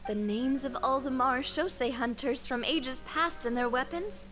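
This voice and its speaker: A woman's voice: high-pitched, moderate pace, a bit bright, soft background music. A woman voicing a cheerful, proud young lady in a video game, poised and playfully teasing. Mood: playful pride.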